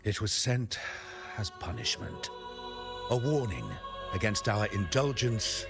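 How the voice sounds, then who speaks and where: An actor playing a pious, lofty high-ranking cleric in a video game, formal, measured and preachy. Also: male voice, expressive, gravelly, heavily acted. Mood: solemn, preaching. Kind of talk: theatrical video game voice acting. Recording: music behind the voice.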